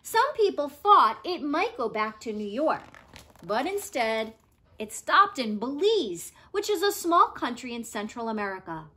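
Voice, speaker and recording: A woman narrating a read-aloud story expressively, with dramatic pauses and emphatic stresses. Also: high voice, big pitch swings, bright, forceful tone. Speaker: reader of a children's non-fiction book.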